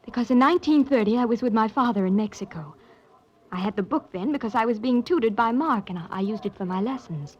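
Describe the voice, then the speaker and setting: An actress playing a guarded young woman in a 1940s radio drama, halting and subdued. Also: a little high, animated, muffled recording, lightly acted. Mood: hesitant. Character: hesitant, guarded young woman, an archaeologist's daughter.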